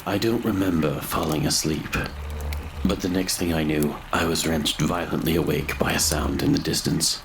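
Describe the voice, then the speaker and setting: A man playing a haunted grave robber who narrates a gothic horror audio drama in brooding, literary, measured phrases. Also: rumbling low, fairly even intonation, vocal fry, noisy background. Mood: uneasy, wry. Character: haunted male grave robber narrating his grim confession.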